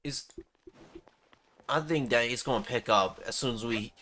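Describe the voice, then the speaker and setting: A man's voice: medium pitch, measured pace, bright, forceful tone, slight background noise. A man livestreaming a game, casually commenting and reading lines out. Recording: faint game music behind.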